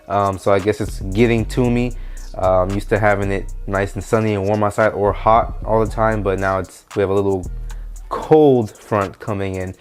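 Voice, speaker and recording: A man's voice: fairly low pitch, steady tone, moderate pace, soft background music. A man with a stuffy, nasal voice from a head cold, giving casual money advice straight to his viewers in a vlog. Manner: direct, talks straight to viewers. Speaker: finance YouTuber.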